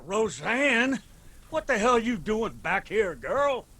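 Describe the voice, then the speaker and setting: An actor playing a stern, commanding mountain clan patriarch with an Appalachian accent in a radio drama. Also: male voice, high voice, very animated, takes it slowly, sharp tone, full character voice.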